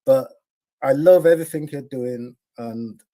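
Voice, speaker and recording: A man speaking as a guest on a live stream panel. His voice sits at an ordinary pitch, and he talks earnestly, haltingly, stopping and restarting his sentences. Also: dark tone. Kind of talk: casual livestream chat.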